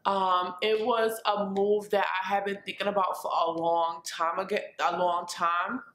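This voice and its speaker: A woman's voice: medium pitch, little pitch movement, a little slow, a bit bright. Twenty-something woman vlogging at home, rambly and chatty, roomy echo. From New York. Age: 20s. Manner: chatty, rambling with restarts and fillers. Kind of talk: casual life-update vlog. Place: her apartment.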